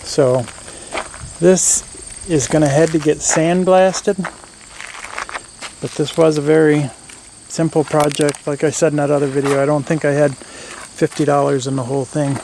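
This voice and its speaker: A man's voice: on the high side, fairly even intonation, measured pace, vocal fry, long pauses, a little mellow, slight background noise, low-quality recording. A man giving casual hands-on commentary as host of a workshop build video, plain-spoken and thinking aloud.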